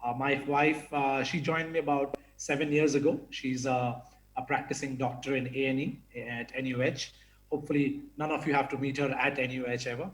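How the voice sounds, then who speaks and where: A man's voice: mid-range voice, little pitch movement, brisk, echoey room, a little hiss or hum, poor audio. A man from India speaking as a panelist in an online forum, talking casually with many fillers. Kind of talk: online panel talk with slides. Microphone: video call.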